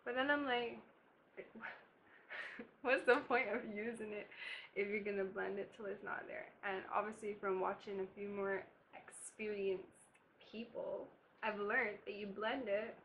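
Young woman from Barbados, chatty makeup vlogger, mid-pitched, faint hiss in a bedroom. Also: fairly even intonation, measured pace, muffled recording. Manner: chatty, casual, laughing asides while applying makeup. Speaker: beauty vlogger. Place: bedroom, indoors.

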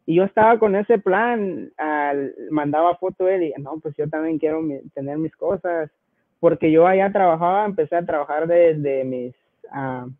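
A man's voice: on the high side, no room sound, phone-quality sound. A man in his twenties with a Guatemalan accent, telling his personal story casually as an interview guest. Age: 20s.

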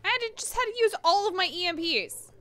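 Animated bright-voiced woman game streamer. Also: very high-pitched, fast talker. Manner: reactive, often muttering to herself while playing. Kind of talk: casual live gameplay commentary. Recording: clear background noise, game ambience.

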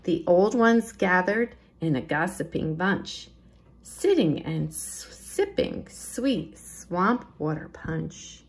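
Slow, animated woman reading a picture book. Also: on the low side. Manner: expressive, deliberate, emphasising rhymes and rhythm. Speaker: children's librarian reading a storytime. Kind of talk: picture-book read-aloud for children.

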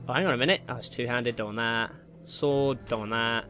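A man gives casual Let's Play commentary at a middling pitch. He mutters to himself as he plays, dropping jokey, slangy asides, with game music running behind his voice. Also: fairly quick, bright, forceful tone. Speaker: gaming video host. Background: video game music.

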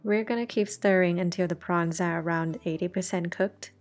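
A woman's voice: on the low side, steady tone, moderate pace, a little mellow, soft background music. A woman, the host of a cooking channel, talking through a recipe step by step in a friendly, reassuring voice-over. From Thailand.

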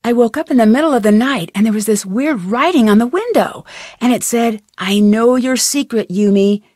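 A woman's voice: on the high side, normal speed, mellow, no room sound, clean studio sound, lightly acted. A woman playing an inquisitive, friendly young female detective in a video game, speaking in an earnest, conversational way. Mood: earnest, curious. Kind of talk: scripted video game dialogue.

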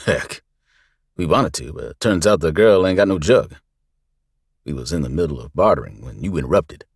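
A man's voice: extremely low pitch, expressive, moderate pace, breathy edge, dry recording, clean studio sound, heavily acted. A man voicing a big, barrel-chested male thug who jeers and bullies. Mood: smug. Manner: howling, jeering.

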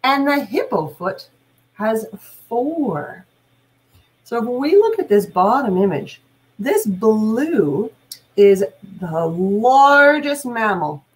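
A middle-aged woman reads aloud as a teacher would to young children. She takes her time, speaking deliberately with very animated intonation. Her medium-pitched voice carries explanatory asides and questions to her listeners. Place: small room at home, indoors.

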